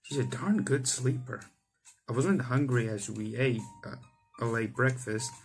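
Young adult male gaming host, mid-pitched, casually reading story text aloud with off-the-cuff remarks, music underneath. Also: a little slow, gravelly. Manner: stumbles over text and rereads lines. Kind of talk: reading visual novel aloud with casual commentary. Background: game music.

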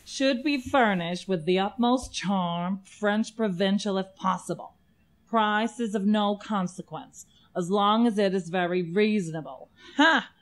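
A brusque city rental agent played by a woman in a radio drama. She is dry and sarcastic, tossing off wisecracks in an offhand way at a medium pitch. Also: a little slow, lightly acted. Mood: mocking. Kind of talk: amateur radio play acting.

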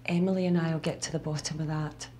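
An actress playing a serious, businesslike lab colleague in a naturalistic film style. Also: female voice, deep voice, steady tone, quick pace, mellow, slight background noise, close to an everyday voice. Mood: grave, controlled. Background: film score.

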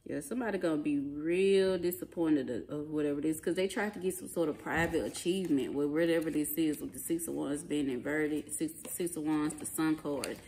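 Low-voiced woman, blunt tarot reader. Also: a little fast, hardly any pauses, darkish tone. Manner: blunt, profane, emphatic; colloquial, slangy phrasing. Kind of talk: informal tarot reading, conversational. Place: small indoor living room at a table. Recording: clearly noisy home recording, card clicks.

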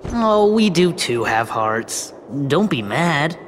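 A man's voice: a little high, sing-song, slow, a little hiss or hum. An actor, a man, playing a laid-back, whiny young villain in a video game. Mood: playful, protesting. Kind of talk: video game cutscene acting.

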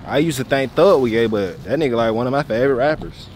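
A young man with an Atlanta Southern accent, chatting casually to camera in slangy banter on a phone held low. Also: expressive, quick pace. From Atlanta, Georgia. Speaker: vlogger. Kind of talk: casual vlog chat. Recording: phone recording with clear background noise.

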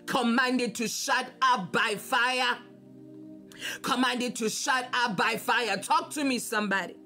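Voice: medium pitch, a little slow, pushed and bright, soft background music. A preacher delivering a fervent prophetic sermon and declarative prayer in an emphatic, repetitive, exhorting manner.

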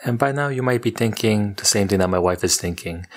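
A young man talking through his investing goals in a scripted video, with a steady, monotone delivery. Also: on the low side, a little fast. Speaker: personal finance YouTuber. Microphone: studio microphone off camera.

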